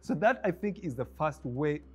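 A man in his thirties with a Ugandan English accent, a film producer talking as a guest in a studio interview, lively and acting out the voices he quotes. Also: fairly high pitch, moderate pace. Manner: animated storyteller, acts out quoted voices with laughs. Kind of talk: interview conversation. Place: bright indoor studio set with armchairs.

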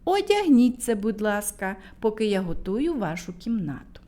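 A woman's voice: medium pitch, sing-song, moderate pace, dark tone, natural voice. A woman voicing a shrewd, knowing old queen mother in a storybook tale. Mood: sly.

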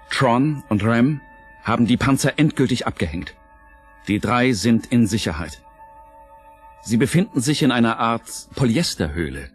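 A man's voice: mid-range voice, slightly rough. Dramatic male narrator over music. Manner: suspenseful, emphatic pauses. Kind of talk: dramatic scripted radio-play narration.

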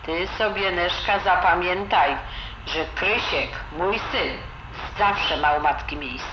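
A woman's voice: fairly low pitch, slow pace. A woman playing a blunt, bossy elderly grandmother in an audio drama, heard through a telephone filter. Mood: gruff, bossy. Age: older, grandmother. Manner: curt, commanding. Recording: muffled phone line, noisy, roomy.

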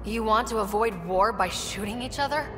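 An actress playing a guarded, dry-humored young space explorer in a game, speaking directly. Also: female voice, a little high, normal speed, over music, lightly acted. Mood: accusing. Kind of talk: cinematic game dialogue acting.

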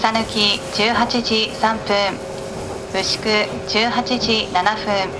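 A woman's voice: medium pitch, fairly even intonation, very slow. A woman working as a train conductor, making a formal announcement to passengers over the onboard PA. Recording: noisy onboard PA recording, train running. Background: train running noise.

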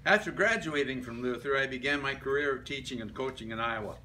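An older man reads a prepared reminiscence aloud to a group, halting between short phrases. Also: mid-range voice, bright, forceful tone. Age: older, about 70s to 80s. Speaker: club member giving a life-story speech. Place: medium-sized indoor meeting room. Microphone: camera a few metres away. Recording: distant room mic with background noise.

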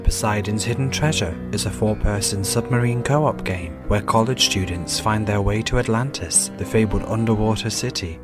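A man's voice: lowish voice, moderate pace, hardly any pauses, over loud music. A man delivering scripted promotional narration as a voice actor.

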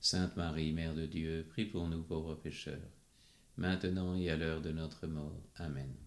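A man with a very deep voice recites rosary responses in an even, measured way. The sound carries the echo of a reverberant room. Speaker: prayer responder. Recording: echoey room.

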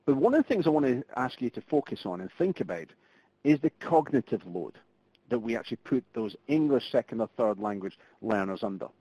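A man's voice: medium pitch, mellow, husky, no room sound. A middle-aged man giving a live online training presentation, chatty and full of fillers and restarts. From Scotland. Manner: conversational, lots of fillers and restarts. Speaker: webinar presenter. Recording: muffled web conference audio.

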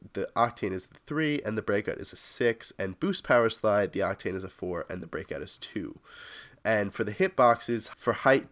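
A man's voice: fairly low pitch, fairly bright tone. A young adult man hosting a gaming video with casual gameplay commentary, sounding congested and sick with a cold.

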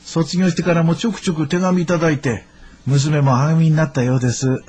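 An actor playing a middle-aged shopkeeper father with a husky voice and Kansai accent in a radio drama, effusively polite. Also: a little high, steady tone, fairly quick, a little mellow. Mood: delighted, grateful.

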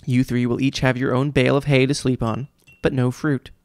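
A man's voice: medium pitch, flat delivery, fairly quick, no room sound, lightly acted. A man reading a storybook, voicing a pompous, cruel male vice principal who mimics people mockingly. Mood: condescending.

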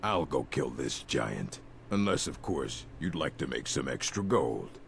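Very deep, gruff orc chief. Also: male voice, very animated, a little slow, a little hiss or hum, full character voice. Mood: grudging, boastful. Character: gruff, arrogant male orc chief warrior. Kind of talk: fantasy video game acting.

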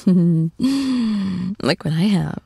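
A woman playing an affectionate, teasing girlfriend in an intimate ASMR roleplay, cooing tenderly and often slipping into soft, breathy whispers. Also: lowish voice, animated, heavily acted. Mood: playful, adoring. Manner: cooing, playful, slow and tender. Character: affectionate, teasing young girlfriend comforting her shy boyfriend.

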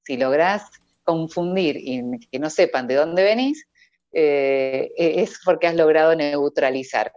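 Low-voiced Argentine woman on a video call. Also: a little slow, no echo. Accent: Cordobés Argentine Spanish. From Córdoba, Argentina. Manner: laughs often, warm sing-song lilt. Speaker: voice-over artist guest. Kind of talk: casual interview over video call. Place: indoor room at home. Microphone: earphone headset on video call. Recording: dull, dry video-call audio.

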